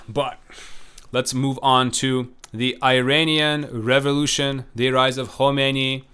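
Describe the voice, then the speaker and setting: A man's voice: mid-range voice, level tone, slow pace, sharp tone, clean tone. A man giving a recorded lecture in a loose, conversational way, full of fillers, restarts and self-corrections. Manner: unsure about names, self-correcting. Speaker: professor.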